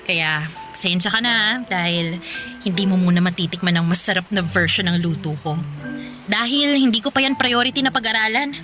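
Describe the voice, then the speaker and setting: An actress plays a young college woman with a Filipino accent and a bright, forward voice. She is playful and ambitious, chatting conversationally in a radio drama. Faint music sits underneath. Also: medium pitch, a little fast, close to an everyday voice. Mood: playful, affectionate.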